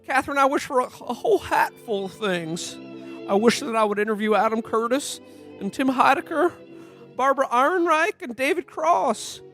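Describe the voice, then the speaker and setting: A man plays an earnest everyman from an old movie, stammering and halting through his words in a radio play parody. He is naive and bashful, with faint music behind him. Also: very high-pitched, lively intonation, bright, forceful tone, heavily acted. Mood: bashful, eager. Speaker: podcast host playing himself. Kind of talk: parody of 1940s film acting in a radio play.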